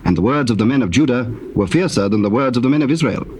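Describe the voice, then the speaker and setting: A man giving a solemn, measured scripted narration of dramatized scripture. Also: mid-range voice, animated, darkish tone. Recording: noisy cassette tape recording.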